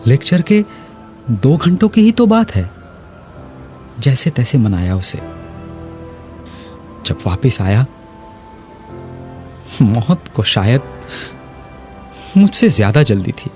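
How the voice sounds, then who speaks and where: A man acting a middle-aged history professor in a Hindi audio drama, eloquent and guilt-ridden, with dramatic, weighty pauses. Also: mid-range voice, sing-song, a little mellow, faint music behind. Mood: grieving, remorseful.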